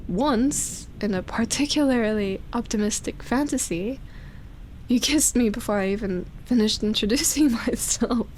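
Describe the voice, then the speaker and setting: Slow, seductive vampire woman, acted by a woman. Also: a little high, a little hiss or hum, lightly acted, rough recording. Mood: wistful confession. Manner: breathy teasing, soft sighs and chuckles, whispered phrases. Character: seductive, obsessive yet tender immortal female vampire. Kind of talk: intimate roleplay ASMR audio drama.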